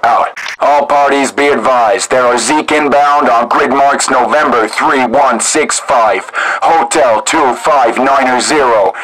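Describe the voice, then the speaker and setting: A man's voice: mid-range voice, takes it slowly, fairly bright tone, poor audio. A man playing a terse, commanding National Guard radio operator, calling out orders in clipped, formal military radio procedure through a radio filter.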